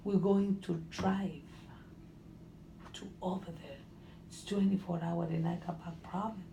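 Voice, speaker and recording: Gravelly, halting Honduran woman in an echoey room. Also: fairly low pitch, steady tone, dark tone. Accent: Honduran Spanish. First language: Spanish. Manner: halting, restarts phrases, searches for English words. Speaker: interviewee. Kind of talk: casual conversation. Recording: echoey room with faint noise.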